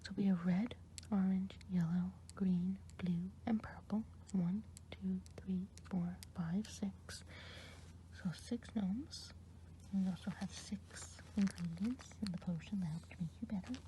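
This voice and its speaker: A woman whispering softly as a kindly, chatty forest witch healer in an ASMR roleplay, rambling gently and gossiping. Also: medium pitch, steady tone, measured pace, a little hiss or hum, natural voice, poor audio. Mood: coaxing. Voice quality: soft whispering. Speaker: ASMR artist.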